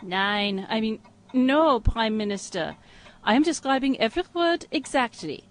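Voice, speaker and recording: High-voiced German spy secretary. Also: female voice, lively intonation, measured pace. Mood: deferential, sly. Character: female German spy posing as demure English secretary. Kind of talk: comic audio drama acting.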